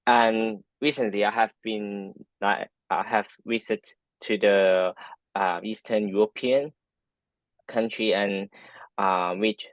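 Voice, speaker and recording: A man's voice: fairly low pitch, fairly even intonation, slow pace, no echo. Hesitant Hong Kong man, dull dry sound. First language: Cantonese. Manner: hesitant, many fillers and restarts. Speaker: guest. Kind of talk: casual interview answers.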